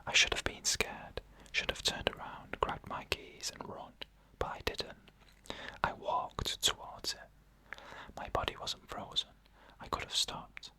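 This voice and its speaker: A narrator reading eerie first-person stories in a slow, deliberate ASMR whisper, pausing between short phrases. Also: low-quality recording.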